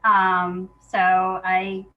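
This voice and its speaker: A woman speaking as an interview guest, a playwright chatting casually about her work and telling it in an animated way, acting out remembered exchanges. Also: mid-range voice, extremely slow, dull audio, a little hiss or hum.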